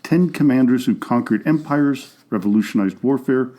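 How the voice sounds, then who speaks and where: A man hosting a history podcast, reading a scripted narration in a steady, informative way. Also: medium pitch, moderate pace. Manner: reads steadily from a script with an even, informative delivery.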